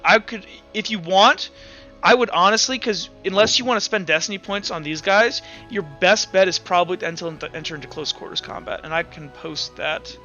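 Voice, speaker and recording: A man's voice: sits high, normal speed, bright, forceful tone, highs cut off. A man casually running a tabletop roleplaying game, rambling through rules and muttering as he counts and looks things up. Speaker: game master. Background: background music.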